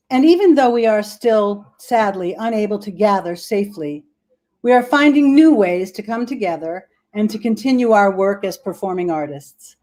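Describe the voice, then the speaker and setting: Formal woman host, mid-pitched voice. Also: a little mellow. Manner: measured, formal. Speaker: theater chairperson and host. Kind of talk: formal scripted welcome address.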